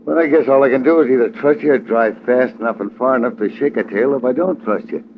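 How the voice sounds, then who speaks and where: An actor playing a curt, distrustful, sardonic crook's driver in an old radio crime drama. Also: male voice, mid-range voice, fast talker, close to an everyday voice. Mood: suspicious, grudging. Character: gruff, suspicious underworld driver, adult man. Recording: narrow, noisy old radio recording.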